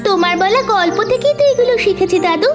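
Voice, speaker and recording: A woman's voice: very high-pitched, quick pace, music in the background. A woman voicing an earnest, kind-hearted little child in a children's cartoon. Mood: earnest, eager.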